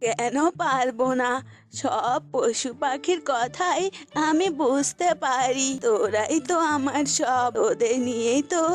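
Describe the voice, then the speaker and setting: A woman voicing a kind, doting old village granny in a cartoon fable, speaking tenderly. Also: high-pitched. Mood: tender, caring. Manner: tender, unhurried. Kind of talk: cartoon voice acting in a children's fable. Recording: music bed behind the voice.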